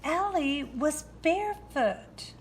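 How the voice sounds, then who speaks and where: A woman reading a children's storybook aloud in a deliberate way. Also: high-pitched, expressive, slight background noise. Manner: slow, deliberate narration. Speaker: storybook reader.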